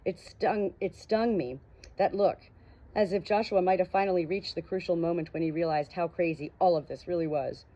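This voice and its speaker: A woman narrates fiction in the first person, her voice sitting a little low. She reads like an audiobook storyteller, moving between description and dialogue. The recording is somewhat dull and muffled. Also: moderate pace, dark tone.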